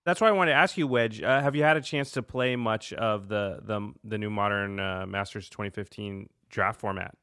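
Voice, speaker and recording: Bright-voiced man hosting, dry sound. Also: fairly low pitch, normal speed, few pauses, smooth, no echo. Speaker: podcast host. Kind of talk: casual conversation.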